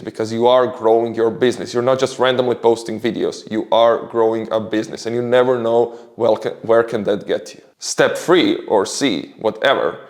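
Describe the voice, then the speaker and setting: Man giving upbeat career tips. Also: medium pitch. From Croatia. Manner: upbeat, explanatory, enthusiastic. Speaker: creator giving career advice. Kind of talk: casual advice monologue.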